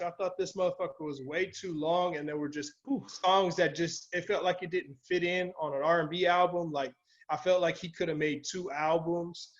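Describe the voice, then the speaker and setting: A man's voice: on the high side, highs cut off. A man giving casual music reviews as a podcast panelist, blunt, exclamatory and full of slang and swearing. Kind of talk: casual album review banter.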